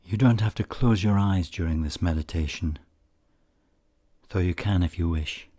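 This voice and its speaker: A man leading a guided meditation in a calm, measured way with frequent pauses, his husky voice softening at the ends of phrases. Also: deep voice. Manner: calm, measured, pausing often. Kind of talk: slow guided meditation narration.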